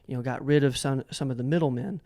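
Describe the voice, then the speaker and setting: A man's voice: mid-range voice, fast talker, mellow. A man hosting a casual interview, asking long, rambling questions and often doubling back on his words. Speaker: interview host.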